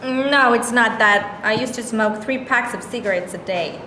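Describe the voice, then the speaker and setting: An actress plays an agreeable, earnest woman in a comic staged reading. Her fairly high voice carries in an echoey hall. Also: moderate pace, sharp tone. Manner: conciliatory, earnest. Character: agreeable, hopeful woman, forgiving ex-smoker. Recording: echoey hall, background noise.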